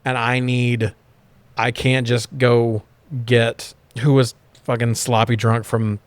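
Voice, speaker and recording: A man's voice: medium pitch, level tone, slow, smooth, no echo. Rambling male podcast co-host, dry close sound. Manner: rambling, informal, swears casually. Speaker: podcast co-host reviewing movies. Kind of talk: casual unscripted discussion.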